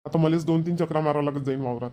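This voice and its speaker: A man's voice: a little high, steady tone, very fast, warm tone, natural voice. A man voicing a worried, grumbling farmer husband in a village cartoon. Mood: worried, resolute. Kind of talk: Marathi village cartoon comedy dialogue.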